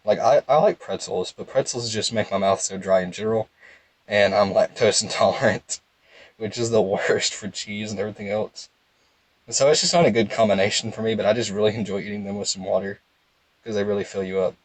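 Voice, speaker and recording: A young man with a calm, low voice, giving casual, rambling game commentary in a laid-back monotone. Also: brisk, no room sound. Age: young adult. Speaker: gaming video host.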